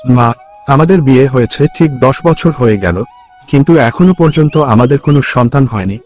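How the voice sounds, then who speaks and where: A man's voice: medium pitch, animated, normal speed, darkish tone, faint music behind, lightly acted. A man playing a poor, kind village labourer and husband in a cartoon folk tale, with an earnest manner. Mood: wistful.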